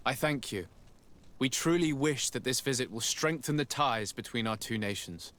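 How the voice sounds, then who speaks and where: A man's voice: mid-range voice, expressive, moderate pace, a bit bright, a little hiss or hum, close to an everyday voice. Composed young noble diplomat, acted by a man. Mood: courteous, composed. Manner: polite, formal, articulate.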